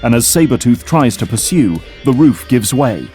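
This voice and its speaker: A man's voice: mid-range voice, sing-song, moderate pace, mellow. A man giving dramatic scripted narration for an audio drama. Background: dramatic music.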